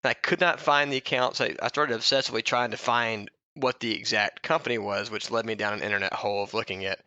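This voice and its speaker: A man's voice: lowish voice, steady tone, fast, sharp tone, dry recording, muffled recording. A man co-hosting a loose comedy podcast, chatting in a wry, self-deprecating and casually crude way. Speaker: podcast co-host.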